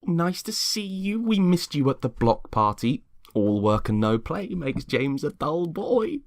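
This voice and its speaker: A man's voice: fairly high pitch, big pitch swings, measured pace, no room sound, clean studio sound, voice pushed up. A man voicing a chirpy, gossipy suburban wife in her thirties in a dramatic script reading, with a gushing, insinuating manner.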